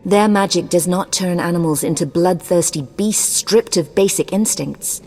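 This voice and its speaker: A woman's voice: on the low side, normal speed, a little mellow. A woman playing an imperious, ruthless sorceress in a video game, haughty, formal and commanding. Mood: formal, clipped. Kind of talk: scripted video game dialogue. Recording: long cavernous reverb.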